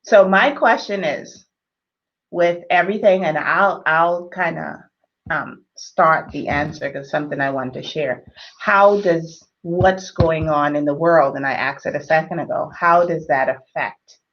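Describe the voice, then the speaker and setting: A woman from the Bahamas co-hosting a marriage talk show, speaking thoughtfully and hesitantly with many pauses and fillers. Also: low voice, lo-fi sound. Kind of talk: conversational discussion.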